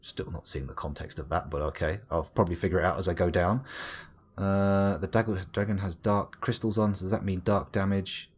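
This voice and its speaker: A man's voice: sits low, normal speed, husky. An English man streaming a game live, rambling and joking as he reads his chat aloud. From England. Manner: rambling, chatty, self-mocking, lively swings into exclaimed bits. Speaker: gaming streamer reading chat.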